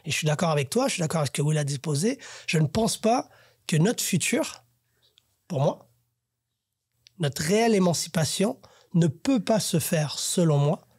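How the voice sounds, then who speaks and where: A man's voice: fairly high pitch, expressive, moderate pace, darkish tone, slightly rough, dry recording, studio quality. A man, host of a podcast, talking earnestly in a casual panel discussion and thinking aloud as he goes. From Haitian family, raised in Canada.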